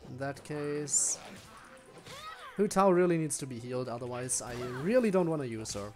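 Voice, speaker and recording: A man's voice: medium pitch, lively intonation, normal speed. A man giving casual live gameplay commentary, often muttering to himself as he plays. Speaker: game streamer.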